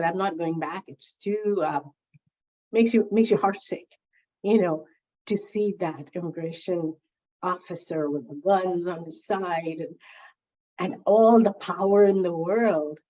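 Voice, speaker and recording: Woman interviewee, lower voice, mellow tone, lively storyteller, slightly dull recording. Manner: animated storyteller, repeats words while gathering thoughts. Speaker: interviewee, founding member recalling early days.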